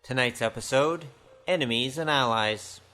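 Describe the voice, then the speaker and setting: A man announces an audio drama in scripted style. His voice is medium in pitch, and music plays clearly behind him. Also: moderate pace, pushed and bright.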